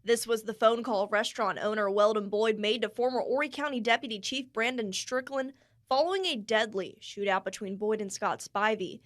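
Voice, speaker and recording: A woman with a somewhat high voice narrates a television news package. She reads scripted copy in the style of a field reporter. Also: normal speed.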